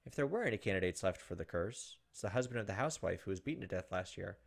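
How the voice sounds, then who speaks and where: A man's voice: sits low, animated, fast, gravelly, breathy edge. A man in his thirties narrating a visual novel aloud as a let's play host. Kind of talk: narrated let's play, dramatic reading with casual asides. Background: soft game music.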